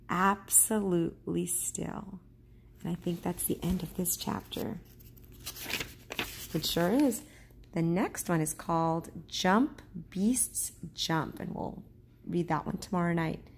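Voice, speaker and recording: Woman teacher reading a kids book, slow and careful, lowish husky voice. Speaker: teacher reading aloud to children. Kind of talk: children's storybook read-aloud.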